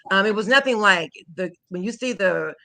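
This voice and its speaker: A woman's voice: medium pitch, animated, normal speed, gravelly, bright, forceful tone. A woman, chatting animatedly as an interview guest about teaching languages, laughing often and slipping into French. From Houston, Texas. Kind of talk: casual interview chat.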